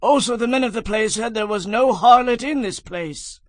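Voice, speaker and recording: A man's voice: extremely high pitch, normal speed, dry recording. A man playing an earnest messenger in an audio Bible drama. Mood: puzzled. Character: earnest male messenger in ancient Canaan.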